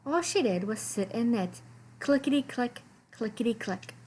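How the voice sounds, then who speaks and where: A woman reading a children's story aloud in an animated, expressive way, with dramatic emphasis on descriptive words. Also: fairly high pitch, moderate pace, a little hiss or hum. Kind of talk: expressive picture-book read-aloud.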